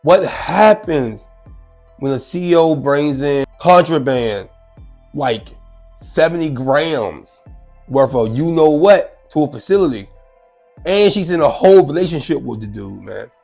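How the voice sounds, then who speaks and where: A man's voice: a little high, a little slow, creaky, faint music behind. A congested, sick man hosting his own show, chatting loosely and animatedly about a news clip. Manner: chatty, animated, repeats himself. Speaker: show host. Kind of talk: casual commentary on a news clip.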